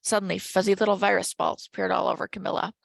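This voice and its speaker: Very creaky-voiced woman reading a storybook. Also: mid-range voice, fairly even intonation, fast talker, dry recording, clean studio sound. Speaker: storybook reader at a school. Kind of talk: expressive children's read-aloud narration.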